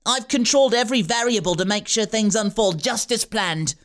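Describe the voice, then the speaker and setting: A smug young villain who bosses an army of squirrels and talks with cool, self-assured menace, as a man narrator puts him on. The voice sits higher than most men's. The storybook reading gives him a calm, threatening edge. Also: brisk. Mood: menacing.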